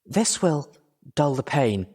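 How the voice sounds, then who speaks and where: Storybook medic: caring adult mare, lifted pitch, soft and weary, worried for her friend , acted by a man. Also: very animated, slow pace, breathy edge, full character voice. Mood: caring, exhausted. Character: gentle, worried female unicorn pony medic.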